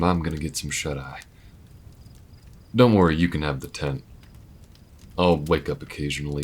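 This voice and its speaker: A man's voice: very deep voice, slightly rough, exaggerated voice. A man voicing a laid-back, protective alien gunslinger in an intimate audio roleplay, with folksy, hesitant, reflective speech. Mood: gentle, reassuring. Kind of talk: slow-burn audio roleplay, intimate monologue to listener.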